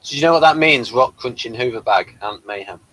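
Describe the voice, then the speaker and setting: A man's voice: mid-range voice, a little fast, sharp tone, rough recording. A man, hosting a casual review chat. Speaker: host of a TV review show.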